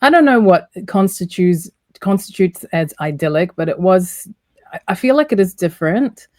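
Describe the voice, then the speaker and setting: A woman in her forties who grew up in New Zealand, a university lecturer talking as a guest, thoughtful and quick to laugh. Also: fairly low pitch, expressive, darkish tone, no room sound, clean studio sound. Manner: thoughtful, laughs easily, rephrases as she goes. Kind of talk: casual interview chat.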